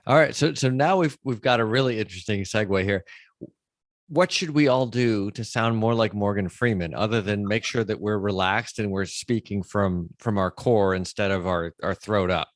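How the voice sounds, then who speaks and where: A man's voice: fairly low pitch, moderate pace, no echo, clean studio sound. A middle-aged man hosting a podcast interview in a conversational way, recapping points and checking he has understood.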